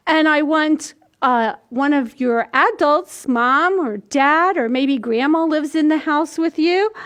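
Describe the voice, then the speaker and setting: An older woman with a very high voice leads a storytime for small children. She speaks slowly and in simple steps, demonstrating as she goes. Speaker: children's library storytime host. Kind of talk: instructional talk to young children. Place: indoors, small plain room. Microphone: camera a few feet away.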